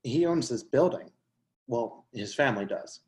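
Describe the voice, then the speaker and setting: A woman's voice: rumbling low, expressive, unhurried, a little mellow. A woman playing a polite, flustered and chatty newcomer in a stage play reading, a little out of breath. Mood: matter-of-fact. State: out of breath, acted. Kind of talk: readers theatre play reading.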